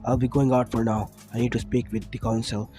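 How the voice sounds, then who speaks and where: A man voicing a calm father in a read-aloud fan story, speaking low and subdued. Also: fairly quick, soft background music, lightly acted. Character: calm father, quiet and steady.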